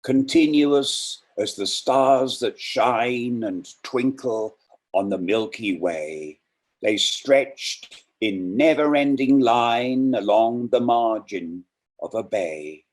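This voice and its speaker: A man reading and introducing poems in an unhurried, deliberate, reflective way. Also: medium pitch, animated. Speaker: poetry reader introducing and reciting poems. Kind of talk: poetry reading with spoken introductions.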